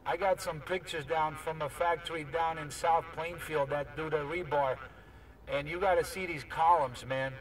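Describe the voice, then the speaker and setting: A man's voice: a little high, steady tone, moderate pace. A man talking casually and informally with other operators over a CB radio. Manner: informal, often adds "man". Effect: CB radio transmission. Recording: distant, noisy CB radio.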